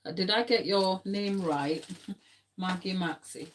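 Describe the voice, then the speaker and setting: A woman's voice: fairly low pitch, slow pace, a little hiss or hum. A middle-aged woman with a Ghanaian accent, a non-native English speaker, giving a casual, explanatory live tutorial and chatting with viewers. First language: not English. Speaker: cake decorating instructor. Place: home kitchen, indoors.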